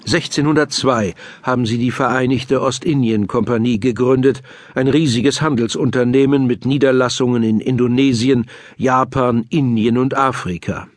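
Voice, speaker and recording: Man, mid-pitched, measured explanatory narration, children's history audiobook. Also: steady tone, dry recording.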